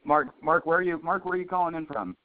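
A man moderating a conference call over the phone, casual and brisk as he moves callers along. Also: a little high. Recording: thin, narrow phone line.

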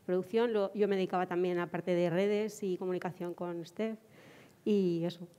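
Mellow woman speaking in an echoey hall. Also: fairly low pitch, steady tone, normal speed. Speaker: panelist, performer. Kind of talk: informal self-introduction at a talk. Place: small theatre stage, panel table.

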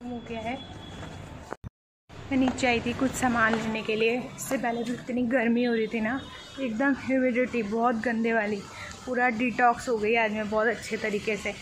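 A woman's voice: on the high side, normal speed. Chatty woman vlogging on a phone. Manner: chatty and rambling, coaxing when teaching her son. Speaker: vlogger and mother. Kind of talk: casual daily vlog chat. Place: in a car, then at home indoors. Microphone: phone held at arm's length. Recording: phone vlog with steady background noise.

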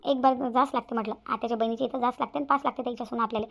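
A woman's voice: fairly high pitch, steady tone, very fast, creaky. A woman voicing a young, grumbling village daughter-in-law in a cartoon, chatty and complaining. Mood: gossipy. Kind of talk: Marathi cartoon voice acting.

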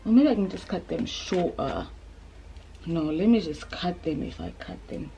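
A woman's voice: on the low side, wildly swooping pitch, moderate pace, gravelly, mellow, faint music behind. A woman, chatting informally to camera and thinking aloud at home. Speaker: vlogger. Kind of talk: casual chat to camera. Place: indoors, bedroom and bathroom at home.

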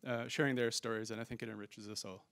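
A man's voice: mid-range voice, flat delivery, fast, gravelly, fairly bright tone. A male panelist speaks in a thoughtful, hedging way, stopping often to find his words, in a mid-range voice. The handheld microphone keeps him dry and close, with no room echo. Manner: thoughtful, hedging, frequent fillers and restarts. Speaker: panelist and conference organizer. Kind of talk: conference panel discussion. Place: conference room stage.

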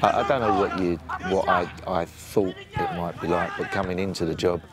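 An English man in his sixties with a booming voice, speaking plainly with many ums and restarts as an assistant football coach in a sit-down interview outdoors by a training pitch. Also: fairly even intonation, moderate pace, very noisy. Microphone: off-camera mic, no lapel.

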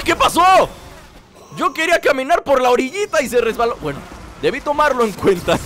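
A young man with a Mexican accent, stuffy from a cold, giving playful, jokey commentary over a video game he is playing, breaking into excited shouts. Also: high-pitched. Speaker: gameplay commentator. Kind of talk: casual let's-play commentary. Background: game music.

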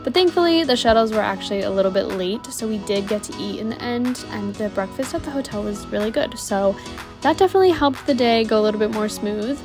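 A woman's voice: fairly high pitch, animated, over music. A young woman in her twenties vlogging casually to camera, chatty and upbeat but tired, recorded in a hotel room and a small cabin with the camera close by or at arm's length. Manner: chatty, upbeat, quick asides and laughs. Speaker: cruise ship dancer vlogger. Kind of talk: casual vlog talking to camera. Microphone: camera on a tripod or held at arm's length.

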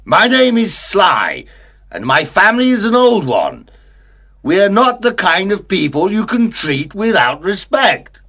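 A woman voicing a drunk, angry man who shouts indignantly in a storybook reading. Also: mid-range voice, big pitch swings, a little slow, husky, full character voice.

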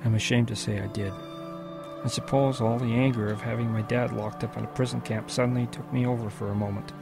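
A reflective old grandfather voiced by a man with a creaky, gravelly voice. He narrates a radio drama of his wartime boyhood in measured, solemn phrases over music. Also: mid-range voice, fairly even intonation, lightly acted. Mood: regretful. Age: older.

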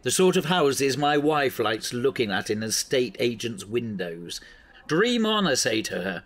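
A man's voice: mid-range voice, big pitch swings, unhurried, a bit bright. A man acting as a seasoned, middle-aged small-town newspaper editor in an audio drama, dry and avuncular. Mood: wry.

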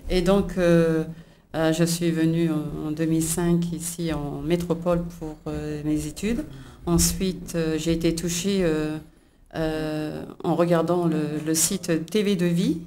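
Flat, low-voiced hesitant young woman. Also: a little slow, slight background noise. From New Caledonia. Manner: hesitant, many fillers. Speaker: church member giving testimony. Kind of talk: religious testimony.

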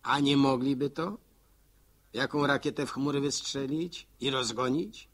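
An actor playing a folksy village postman who narrates in a slow, musing, homespun way in an old radio drama. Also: mid-range voice, a bit bright, natural voice. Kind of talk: rural storyteller monologue in a radio drama.

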